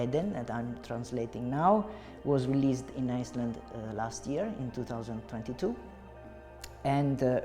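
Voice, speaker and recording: Italian-accented man interviewing, halting and full of ums, dark mellow voice, reverby hall, music behind. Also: mid-range voice. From Italy. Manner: halting, many filler sounds. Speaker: interviewer and translator. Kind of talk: hesitant conversational interview.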